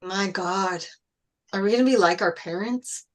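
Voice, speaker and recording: A woman reading the part of an indignant, challenging high school athlete boy in a film script table read. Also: unhurried, close to an everyday voice. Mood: dismayed.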